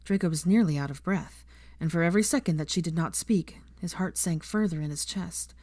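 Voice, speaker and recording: A woman reading fiction aloud as an audiobook narrator, in an expressive scripted style. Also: fairly low pitch, a little fast, no room sound.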